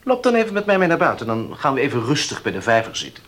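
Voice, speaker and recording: An actor in a Dutch radio play playing an evasive young gentleman, hesitant and hemming as he coaxes. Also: mid-range voice, wildly swooping pitch, quick pace. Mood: polite. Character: evasive, wheedling young gentleman, master's son. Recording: noisy old radio play recording.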